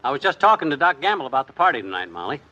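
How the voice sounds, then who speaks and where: Brief: a jovial fast-talking husband, 1950s radio comedy. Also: male voice, mid-range voice, very animated, sharp tone, lo-fi sound. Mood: breezy. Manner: quick, bouncy, jovial delivery. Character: folksy talkative middle-aged husband.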